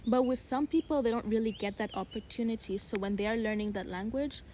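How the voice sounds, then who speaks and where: A woman's voice: fairly high pitch, brisk, dark tone, slight background noise. Young woman with a mild American accent. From Uganda. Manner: reflective, many filler likes. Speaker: podcast co-host. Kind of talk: casual chat.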